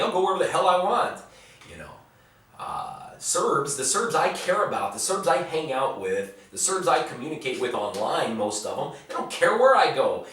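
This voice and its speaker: A man's voice: medium pitch, a bit bright, distant mic, slight background noise. A man talking bluntly in a casual vlog, answering viewer questions. He rambles, repeats words and swears freely. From United States. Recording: very echoey room, voice off-mic.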